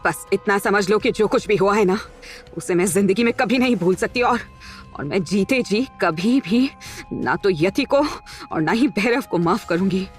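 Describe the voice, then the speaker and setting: A woman's voice: medium pitch, moderate pace, faint music behind, close to an everyday voice. An actress playing a proud, hot-tempered, scorned young woman in an audio drama, with an emotional, melodramatic delivery. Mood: cold, vengeful. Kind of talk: Hindi audio drama acting.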